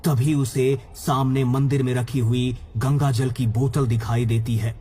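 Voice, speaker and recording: A man's voice: mid-range voice, little pitch movement, unhurried, mellow, breathy edge. A man narrating a scripted horror story for a cartoon. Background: background music.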